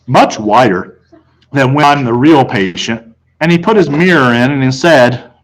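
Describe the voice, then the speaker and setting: A man's voice: mid-range voice, expressive, measured pace, poor audio. American man reading a children's story aloud. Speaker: teacher reading aloud to class. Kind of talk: audiobook-style read-aloud of children's novel, first person.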